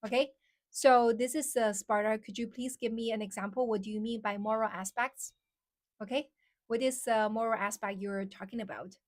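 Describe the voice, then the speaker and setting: A young woman from China speaks English with a Chinese accent, her voice sitting a little high. She talks casually to her livestream audience and fills her sentences with "like" while answering questions. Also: fairly even intonation, normal speed. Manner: chatty, many "like" fillers, reads out comments. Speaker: live streamer.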